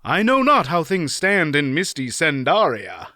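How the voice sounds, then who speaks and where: A man voicing a chivalrous, formal-spoken knight, a little raised and bright. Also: on the high side, big pitch swings, measured pace, no echo, studio quality, voice pushed up, heavily acted. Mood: earnest. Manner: old-fashioned formal speech. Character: boastful chivalrous male knight, formal, archaic.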